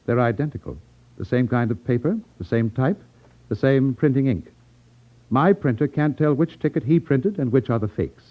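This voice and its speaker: A man's voice: medium pitch, normal speed, natural voice. An actor playing a blustering middle-aged crooked businessman, formal and indignant, in a 1950s radio detective drama. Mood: grave, troubled. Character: blustering, aggrieved crooked racket boss, middle-aged man. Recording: muffled, narrow-band 1950s radio recording.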